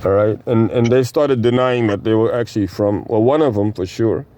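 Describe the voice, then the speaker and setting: A man's voice: fairly low pitch, moderate pace, warm tone. A man leading a casual group discussion as interviewer, probing with follow-up questions.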